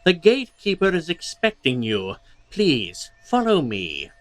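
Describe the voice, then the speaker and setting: A man's voice: a little high, wildly swooping pitch, measured pace, voice pushed up. A man voicing a courteous, formal gate official in a fantasy audio drama. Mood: polite, formal. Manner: deferential, formal.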